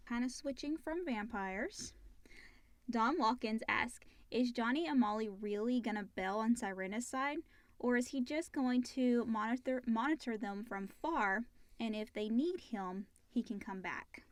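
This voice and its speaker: A woman's voice: fairly high pitch, measured pace, no room sound. A woman co-hosting a casual chat, talking and reading out questions. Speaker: co-host reading listener questions.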